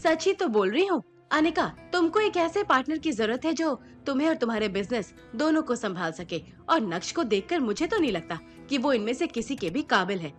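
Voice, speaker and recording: Haughty young cousin, high-pitched, highly animated, gushing, sharp-tongued, audio drama. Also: female voice, a little fast, hardly any pauses, soft background music. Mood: disapproving. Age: young adult. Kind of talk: Hindi audio drama acting.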